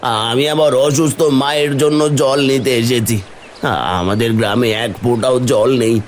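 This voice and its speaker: A man voicing a devoted young village son, earnest and pleading, in an animated fairy tale. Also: mid-range voice, unhurried, a little hiss or hum, natural voice.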